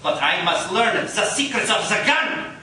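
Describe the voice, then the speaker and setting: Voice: mid-range voice, sing-song, unhurried. An actor playing a menacing, ruthless Nazi leader in theatrical, commanding old-time radio style. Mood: cold menace. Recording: echoey live coffee house, distant mic, noisy.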